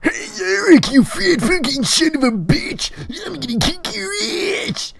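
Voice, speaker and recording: A man voicing a hostile schoolboy bully in a comic story, strained and yelling threats loudly. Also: extremely high pitch, sing-song, measured pace, gravelly, voice pushed up, exaggerated voice. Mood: threatening. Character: hostile, challenging schoolboy bully in oversized clothes.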